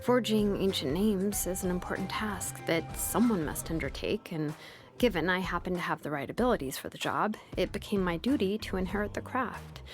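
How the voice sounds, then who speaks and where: A woman voicing a laid-back, sleepy young female blacksmith and name engraver from a video game, languid and nonchalant with soft hesitations. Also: lowish voice, animated, normal speed, close to an everyday voice. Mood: matter-of-fact. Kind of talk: video game character voice lines. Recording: music behind the voice. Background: music.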